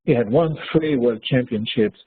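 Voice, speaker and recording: Swiss French-accented male co-presenter, breathy husky tone, hesitant delivery, tinny lo-fi audio. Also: medium pitch. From French-speaking Switzerland. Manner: hesitant, halting restarts. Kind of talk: conference presentation.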